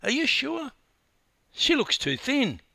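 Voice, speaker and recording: A man's voice: very high voice, wildly swooping pitch, slow pace, creaky, bright, forceful tone, no room sound, studio quality, voice pushed up, full character voice. A man voicing a disbelieving, needling husband in a narrated story. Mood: incredulous. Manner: disbelieving, questioning. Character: sceptical husband, incredulous and needling his wife.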